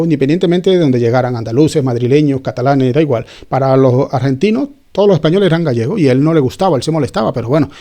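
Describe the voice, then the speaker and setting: Man, bible teacher, conversational, mellow tone, tag questions, self-corrections. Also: mid-range voice. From Spain.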